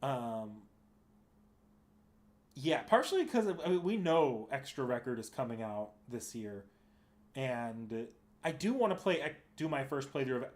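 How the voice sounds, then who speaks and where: A man's voice: mid-range voice, very animated, measured pace, long pauses, darkish tone. A man streaming games, chatting casually and unscripted, hesitant and often correcting himself as he thinks aloud. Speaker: live game streamer.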